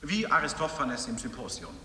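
An actor playing a pompous lecturer, declaiming mock-scientific text emphatically and theatrically in an avant-garde radio piece, recorded at a distance in an echoey hall. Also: male voice, medium pitch, very animated, moderate pace, bright, forceful tone, slight background noise. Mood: threatening.

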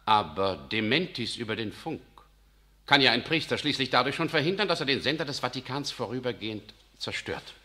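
An actor playing a measured, calculating churchman in a 1960s radio drama, reasoning calmly. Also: medium pitch.

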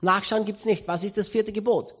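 A man with an Austrian accent preaching a sermon, telling a story with humor and lively emphasis. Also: high voice, moderate pace. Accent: Austrian German. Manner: animated, humorous anecdotal delivery.

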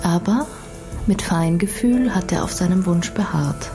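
An actress playing a composed young undercover decoy in a radio crime drama, reporting soberly and precisely. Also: female voice, fairly low pitch, measured pace, music in the background.

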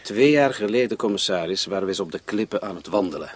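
An actor playing a composed widowed husband in a radio play, explaining himself politely. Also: male voice, on the low side, lively intonation, fast talker, no echo. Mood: earnest, explaining.

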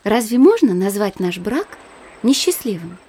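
An actress playing an earnest, polite young married granddaughter in an audio drama of a literary story, gently pleading and protesting. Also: female voice, medium pitch, sing-song, moderate pace, breathy edge. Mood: earnest, objecting. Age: young adult.

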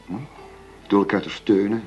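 An actor playing a middle-aged police chief going undercover in a radio play, persuasive and matter-of-fact. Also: male voice, on the low side, moderate pace, slightly rough, faint music behind. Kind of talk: Dutch radio play acting. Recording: dull lo-fi radio play recording.

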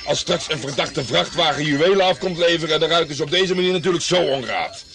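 A man's voice: a little high, fast talker, lightly acted. An actor playing a brash, self-important hard-boiled male private detective in a radio play, blustering and condescending. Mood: blustering, irritated.

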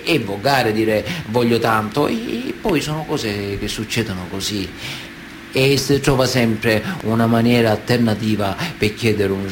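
An actor playing a proud, evasive man of honour in a radio play, with deliberate, sententious delivery. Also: medium pitch, slight background noise, lightly acted. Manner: deliberate, sententious, with weighty pauses. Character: proud, evasive Sicilian mafioso, a self-styled man of honour. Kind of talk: theatrical monologue in a radio play. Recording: music under the voice. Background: music.

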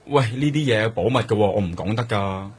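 A man's voice: fairly low pitch, animated, a little fast, slightly rough. A man acting a chatty, cagey hospital staffer in a radio drama, speaking casually with a Hong Kong Cantonese accent. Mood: cagey, helpful. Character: chatty, cagey male hospital staffer, teasing but helpful.

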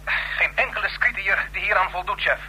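Nervous, sharp, rough-voiced underling. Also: male voice, high voice, brisk, heavily acted, low-quality recording. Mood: nervous, deferential. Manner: hesitant, apologetic. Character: nervous, deferential subordinate reporting bad news. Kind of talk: old Dutch radio drama acting. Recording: old radio drama recording.

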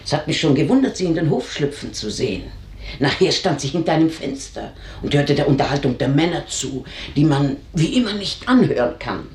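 In an echoing room, a plain-spoken older female servant gossips in a low womanly voice. Her manner is confiding, in the style of a German radio play. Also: very animated, fairly quick, darkish tone. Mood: gossipy, confiding. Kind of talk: German radio drama acting.

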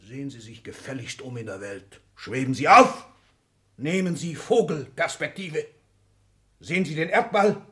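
A man's voice: fairly high pitch, fairly bright tone. An older man playing an ailing, domineering old industrialist in a 1960s German radio drama, commanding and declamatory with clipped, emphatic phrases. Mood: furious, haranguing. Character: ailing, domineering old industrialist patriarch, irascible, power-hungry.

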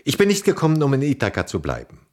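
A man playing a stern, accusing stranger, a loyal envoy, in a theatrical radio drama, formal, grave and reproachful with a husky voice. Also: medium pitch, huge pitch swings, fast talker, a little mellow. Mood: firm, formal.

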